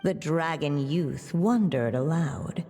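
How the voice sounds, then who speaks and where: A woman playing a composed, knowing, tender storybook narrator in a video game, with measured, literary delivery and long reverb. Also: deep voice, mellow. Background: music.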